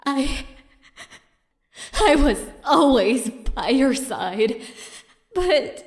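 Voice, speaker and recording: High-pitched obsessive yandere schoolgirl. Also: sing-song, very slow, soft background music, full character voice. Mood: desperate, demanding. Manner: theatrical, swinging between sweet cooing and tearful outbursts. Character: crazed yandere schoolgirl, obsessive, jealous, tearfully menacing. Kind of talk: dramatic manga dub voice acting.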